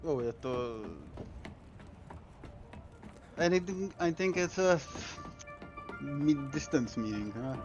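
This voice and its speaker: A man from the Czech Republic with a Czech accent, chatting casually in short, halting replies. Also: medium pitch, lively intonation, darkish tone, lo-fi sound. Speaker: guest on a voice chat. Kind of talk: casual chat. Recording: clear music behind the voice. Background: music.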